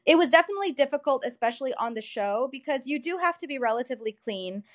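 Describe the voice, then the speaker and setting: A woman's voice: high voice, animated, quick pace. A young adult woman from Ohio, a comedian guest on a talk show, telling stories in a chatty, self-deprecating way. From United States (Ohio). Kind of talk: casual interview chat.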